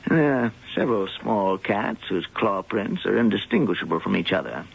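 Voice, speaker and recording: An actor playing a dry, wry museum zoologist in a vintage radio drama, heard on a muffled old broadcast. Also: male voice, fairly low pitch, expressive, normal speed, creaky, breathy edge, full character voice. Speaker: zoologist professor. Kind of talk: 1970s radio drama acting.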